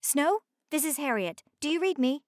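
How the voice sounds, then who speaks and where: A cheerful female helicopter from a children's storybook, played by a woman reading aloud. She reports in brisk, crisp radio-call phrases, a little higher than plain narration, with a close, dry sound. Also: expressive, dry recording. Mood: upbeat, businesslike.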